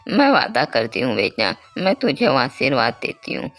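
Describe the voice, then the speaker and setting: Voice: medium pitch, very animated, moderate pace, fairly bright tone. A performer voicing a kindly old forest woman in a Hindi cartoon moral story, grateful and full of blessings. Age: older. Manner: grateful, blessing, addressing listener as child.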